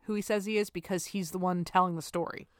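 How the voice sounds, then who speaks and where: A woman's voice: on the low side, fairly even intonation, quick pace, dry recording, clean studio sound. A young woman co-hosting a podcast, chatting thoughtfully about films and often agreeing briefly. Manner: thoughtful, many short agreements. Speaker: podcast co-host. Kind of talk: casual film discussion.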